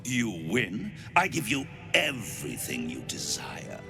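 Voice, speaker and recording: A playful, cruel incubus from a video game, speaks in a low, gravelly voice. He is theatrical and seductive, drawing words out teasingly over music. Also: huge pitch swings, heavily acted. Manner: theatrical, teasing, drawn-out delivery. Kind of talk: video game character acting.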